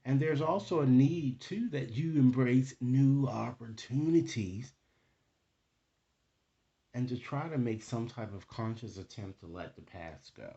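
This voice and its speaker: A man hosting a card reading, speaking to viewers in deliberate, formal phrasing and often checking in with a short tag question. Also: medium pitch, warm tone, muffled recording. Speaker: tarot card reader and channel host.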